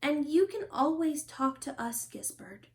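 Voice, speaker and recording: A woman voicing a caring, worried giraffe parent in a storybook, gentle and concerned. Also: sits high, sing-song, unhurried, heavily acted. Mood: reassuring. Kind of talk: children's storybook character reading.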